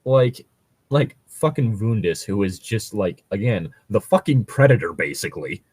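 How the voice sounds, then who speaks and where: Rambling man with a low, crisp voice. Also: animated, moderate pace, darkish tone, no echo. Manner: rambling, frequently swearing. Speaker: co-host reading and discussing stories. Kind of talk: casual chat about tabletop role-playing.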